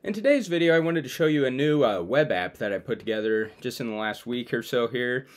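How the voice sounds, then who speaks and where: A man's voice: medium pitch, animated, a little fast. A young adult man giving a casual, conversational demo to viewers as a video creator. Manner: informal, conversational. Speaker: video creator presenting his own web app. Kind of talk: casual screen-share demo, talking to viewers. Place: small room at home, indoors.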